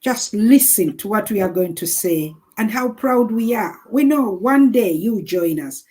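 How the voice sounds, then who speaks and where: A woman from Uganda, a social worker, introducing herself calmly on a video call. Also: medium pitch, a little slow. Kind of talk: casual panel chat.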